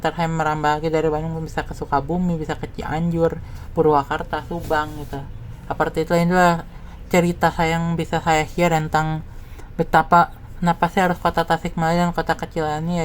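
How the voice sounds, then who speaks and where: A man's voice: fairly high pitch, flat delivery, a little fast, a little hiss or hum. A man from West Java giving a casual, rambling, informal personal monologue as a content creator. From West Java, Indonesia.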